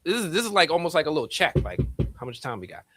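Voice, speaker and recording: A man's voice: a little high, very animated, very fast, sharp tone, a little hiss or hum. A man hosting a livestream, asking a guest questions in a playful, jokey way. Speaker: livestream host. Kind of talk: interview questions, casual.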